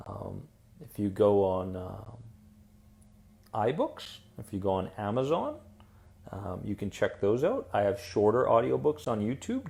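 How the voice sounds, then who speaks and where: A man hosting a live stream as an anxiety coach, upbeat and encouraging as he answers viewer comments, then slow and soothing in a guided visualization. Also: lowish voice, vocal fry, warm tone. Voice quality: soothing radio-style voice.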